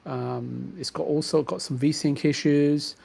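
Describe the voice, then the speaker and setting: A man's voice: a little high, steady tone, measured pace, creaky, a little mellow, husky. A man chatting casually about games in a hesitant, roundabout way. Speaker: gamer on a podcast.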